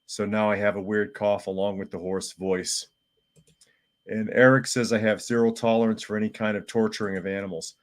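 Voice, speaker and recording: A man with a sore, hoarse throat who hosts a live podcast, reading viewer comments aloud in a plain-spoken, casual way. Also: lowish voice, flat delivery, normal speed. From United States. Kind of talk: casual live-stream chat.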